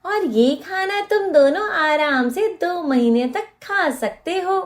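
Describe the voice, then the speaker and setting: A woman voicing a motherly crow mother-in-law in an animated moral story, practical, caring and firmly instructive. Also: very high voice, slow, exaggerated voice. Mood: friendly.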